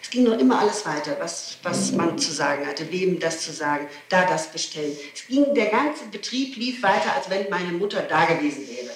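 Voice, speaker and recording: A woman's voice: fairly low pitch, expressive, normal speed, hardly any pauses, roomy sound, a little hiss or hum. A woman answering questions under interrogation, explaining insistently and often repeating herself, on an old 1960s tape. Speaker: suspect being questioned. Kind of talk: spontaneous testimony under interrogation.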